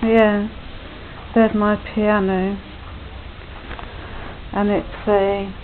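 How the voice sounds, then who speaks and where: A young woman chatting casually and self-deprecatingly in her living room, talking quietly into a built-in webcam mic. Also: little pitch movement, very slow. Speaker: home video maker. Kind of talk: casual home video chat. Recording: tinny low-quality webcam audio with faint hiss.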